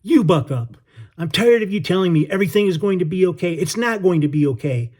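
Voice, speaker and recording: A man voicing a frightened, exhausted young boy who shouts in a raised, strained voice. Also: high voice, lively intonation, fairly quick, pitched above the performer's own voice. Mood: furious despair.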